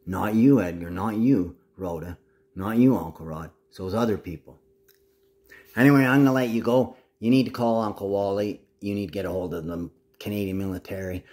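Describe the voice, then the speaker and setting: A man's voice: fairly low pitch, a little fast, a little mellow. A man talking casually to the camera in a rambling, agitated monologue full of swearing.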